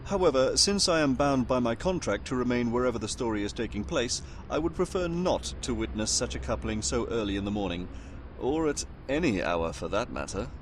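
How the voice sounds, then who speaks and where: A man's voice: mid-range voice, animated, fairly quick, background noise, lightly acted. Droll, proper British narrator played by a man. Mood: droll, prim. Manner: pompous, dry, wordy. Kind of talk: scripted comedic narration.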